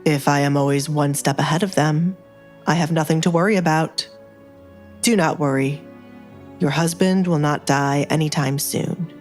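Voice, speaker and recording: A woman voicing a powerful male duke, cool, controlled and quietly menacing, in an audio romance drama. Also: sits low. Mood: assured.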